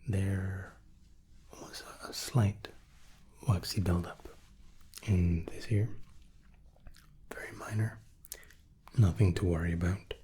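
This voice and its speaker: A man gently whispering an ASMR medical roleplay as a reassuring, unhurried doctor. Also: sits low, fairly even intonation, a little hiss or hum. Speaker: ASMR roleplay host playing an examining doctor.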